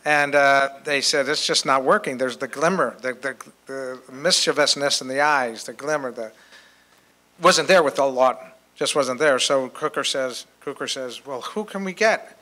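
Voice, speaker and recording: An older man casually telling anecdotes to an audience, jocular and a little halting. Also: medium pitch, pushed and bright. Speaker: panel speaker answering questions. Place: hotel conference room with audience. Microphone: handheld microphone.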